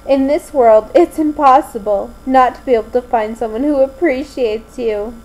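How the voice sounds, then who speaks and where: A woman voicing a gloomy, solemn teenage anime schoolgirl with eerie powers, speaking deliberately in an amateur dub audition. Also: high-pitched, darkish tone, lightly acted, low-quality recording. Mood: happy, tender. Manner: slow, deliberate, solemn delivery. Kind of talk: anime fan dub audition, acted lines.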